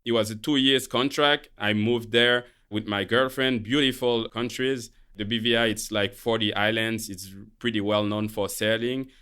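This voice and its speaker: A man from Haiti with a Haitian French accent, calmly explaining his career as a guest on a finance podcast. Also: on the low side, normal speed, few pauses, smooth. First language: Haitian Creole. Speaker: guest, portfolio manager. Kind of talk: podcast interview.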